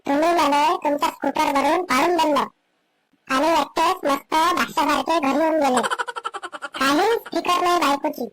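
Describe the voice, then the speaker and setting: A high, piercing-pitched wife from a comedy cartoon, acted by a woman, forever nagging. She complains with heavy sarcasm in Vidarbha Marathi. Some background noise sits on the recording. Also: fairly bright tone, low-quality recording.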